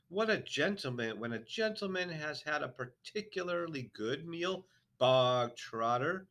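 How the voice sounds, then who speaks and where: A man voicing a bellowing, tyrannical headmistress who booms insults, in a storybook reading. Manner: booming, shouting insults.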